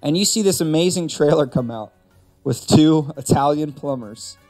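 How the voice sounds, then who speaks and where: A man with a fairly high voice hosts a gaming and movie stream. He talks casually and emphatically, building up his points, with some music playing behind him. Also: normal speed, a little mellow. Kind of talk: casual opinionated chat. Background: background music.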